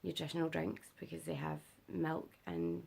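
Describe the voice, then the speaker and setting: A young British woman giving a casual vlog update, her voice rough and worn because she is unwell and has not slept, rambling with lots of fillers. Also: very animated, moderate pace, a little mellow. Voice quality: rough gravelly voice. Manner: rambling, filler-heavy, low-energy. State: unwell and sleep-deprived. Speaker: vlogger.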